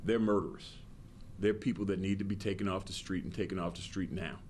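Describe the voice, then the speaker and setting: A man's voice: low-pitched, steady tone, brisk, a little mellow, soft background music. A man speaking as a police spokesman in an interview, blunt and emphatic. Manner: blunt, emphatic, repetitive phrasing. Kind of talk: press interview statement. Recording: faint background noise.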